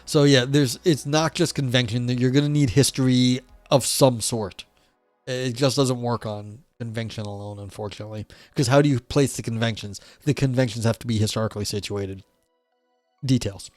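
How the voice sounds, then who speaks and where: A man with a scratchy, hoarse voice on a livestream, reading philosophy passages aloud and then commenting casually, swearing and poking fun at himself. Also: mid-range voice, steady tone, moderate pace, no echo, faint music behind. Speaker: streamer reading philosophy text.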